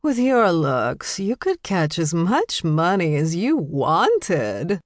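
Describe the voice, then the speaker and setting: Female narrator as tipsy society woman, middle-aged, slurred and drawn-out words, nosy, effusive, audiobook character. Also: fairly low pitch, very animated, no echo, clean studio sound. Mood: tipsy, insinuating. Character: tipsy, gossipy, giggling middle-aged Canadian socialite woman.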